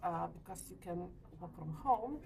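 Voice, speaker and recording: A woman in her forties from Lithuania, speaking English with a Lithuanian accent, answering interview questions hesitantly with many pauses. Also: fairly low pitch, expressive, warm tone. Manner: hesitant, frequent uh pauses, light laughs. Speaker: letting agency owner. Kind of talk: business interview. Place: hotel meeting room.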